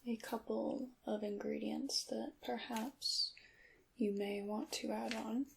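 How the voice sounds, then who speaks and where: A woman playing a gentle, knowing witch in an ASMR roleplay, speaking in a breathy half-whisper with deliberate, slightly formal phrasing. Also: a little high, level tone, a little hiss or hum, exaggerated voice, poor audio. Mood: hushed, instructive. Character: gentle, knowing witch teaching her craft. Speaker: ASMR performer.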